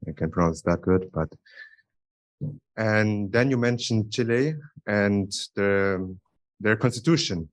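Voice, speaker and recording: Lower-voiced man commenting from the audience. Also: slow, pauses often. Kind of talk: spoken comment to a lecturer.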